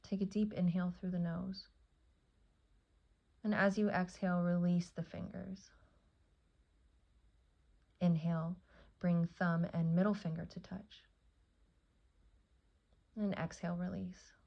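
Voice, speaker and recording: Woman doing yoga nidra, super flat soothing delivery, lots of vocal fry, long pauses, bit muffled. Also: fairly low pitch. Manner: soothing, unhurried, with long pauses between short phrases. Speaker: yoga nidra teacher and meditation guide. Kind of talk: guided meditation.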